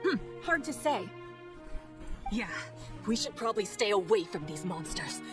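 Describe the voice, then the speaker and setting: A woman's voice: mid-range voice, sing-song, normal speed, music in the background, lightly acted. A woman playing a suspicious, hostile townswoman in a video game, with a scornful, accusing manner.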